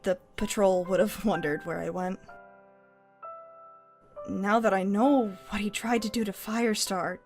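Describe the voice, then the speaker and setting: A woman in an animated fan dub voicing an older, remorseful warrior cat with a halting, solemn delivery. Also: mid-range voice, close to an everyday voice. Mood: resigned, firm. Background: music.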